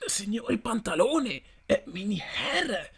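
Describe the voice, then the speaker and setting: A woman's voice: medium pitch, very animated, slow pace, vocal fry, breathy edge, exaggerated voice. A woman playing a flustered, sleepy, put-upon male servant in an audio drama, bumbling and stammering. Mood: flustered, grumbling.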